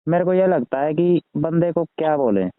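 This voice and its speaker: A man's voice: fairly high pitch, expressive, moderate pace, no echo. A man chatting casually on a phone call as a network marketer. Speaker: network marketer asking for advice. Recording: tinny phone call recording.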